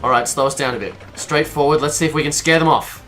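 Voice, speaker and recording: A man's voice: a little high, fast, over music, natural voice. A man playing a cavalier, wisecracking smuggler pilot in an audio drama, sarcastic and breezy. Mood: urgent, commanding. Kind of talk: scripted audio drama acting.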